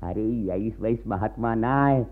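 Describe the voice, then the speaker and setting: An actor playing a poor, devout peasant husband in a radio play, earnest and simple, with a rural eastern Uttar Pradesh accent. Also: male voice, on the low side, unhurried, natural voice. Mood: earnest, exasperated. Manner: deferential, rustic, earnest. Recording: muffled narrow-band radio recording.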